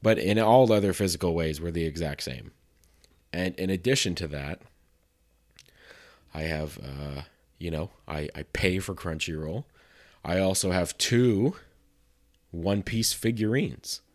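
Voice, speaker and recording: A hoarse man with a sore throat, hosting a podcast in a casual, self-deprecating ramble full of fillers. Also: low-pitched, unhurried, long pauses. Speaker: podcast host.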